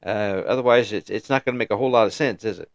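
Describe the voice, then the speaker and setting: A man's voice: lowish voice, fast, vocal fry, no echo. Middle-aged male host, casual and talkative, lots of restarts; dry, slightly dull recording. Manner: chatty, frequent restarts and affirming "yeah"s. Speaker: interview host. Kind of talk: casual interview.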